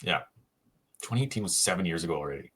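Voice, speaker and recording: A man co-hosting a casual sports podcast, thinking aloud with frequent pauses and restarts. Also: deep voice, animated, fairly bright tone, a little hiss or hum. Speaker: co-host, hockey writer.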